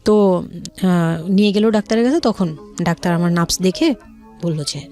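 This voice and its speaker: A woman's voice: lowish voice, moderate pace, warm tone, faint music behind. A woman with a Bangladeshi accent telling her personal story as an interview guest, colloquially, often repeating and rephrasing herself.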